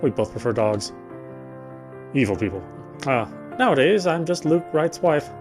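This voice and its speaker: A man's voice: on the high side, expressive, moderate pace. Man reading a game story aloud over music. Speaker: let's player reading a visual novel aloud. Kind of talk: read-aloud narration of a game script.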